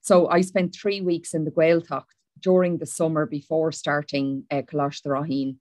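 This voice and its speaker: A woman's voice: deep voice, flat delivery, darkish tone, no room sound. A woman in her forties from Wicklow with an Irish accent, giving a prepared talk as a panel speaker on a webinar. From Ireland. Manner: measured, speaks Irish then repeats in English. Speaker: panel speaker, former pupil.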